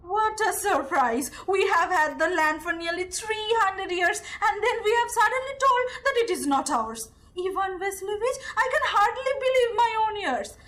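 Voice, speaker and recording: A young woman acts out a headstrong, easily offended landowner's daughter in an amateur radio play. Her voice is extremely high and swings animatedly as she argues with emphasis, repeating herself in an Indian accent. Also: animated, moderate pace, hardly any pauses, fairly bright tone. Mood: indignant. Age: young adult. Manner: argumentative, emphatic, repeating words. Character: stubborn, indignant young Russian landowner's daughter. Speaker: student actor. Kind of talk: amateur audio drama acting.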